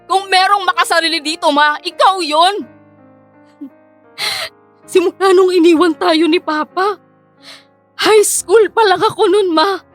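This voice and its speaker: A woman's voice: very high voice, unhurried, pauses often, fairly bright tone. A woman acting a hardworking, weary student daughter in a Filipino radio drama, earnest and emotional, pleading with a Filipino accent. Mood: tearful, defiant. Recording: music bed under the voice.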